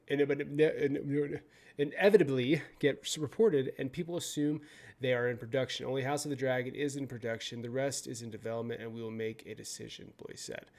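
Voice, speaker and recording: A young adult man hosting his own channel, reading news out loud in a casual way, often stumbling over words, swearing and joking. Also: mid-range voice. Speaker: video host.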